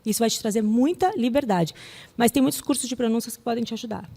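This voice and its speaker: A Brazilian woman with a fairly high voice gives a public talk in a reverberant hall. She speaks emphatically but conversationally, like a teacher answering questions, and keeps checking that listeners follow. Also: fast. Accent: Brazilian Portuguese. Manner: animated, conversational, emphatic, with frequent rhetorical questions and check-ins. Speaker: English teacher and translator giving a talk. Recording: echoey hall with long reverb.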